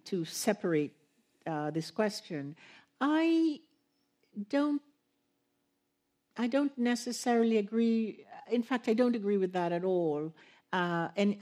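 A woman on a panel, answering an audience question in a halting, thoughtful way with many pauses and restarts. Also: lowish voice, warm tone. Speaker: guest author on panel. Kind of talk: slow reflective answer to audience.

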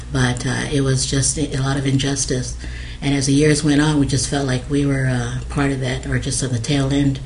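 A middle-aged woman from American Samoa speaks in a flat, level tone, pausing often with "uh" as she recalls things. The recording carries a faint hiss underneath. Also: very deep voice, low-quality recording. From American Samoa, raised in Hawaii. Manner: hesitant, many fillers. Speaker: interviewee. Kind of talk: oral history interview.

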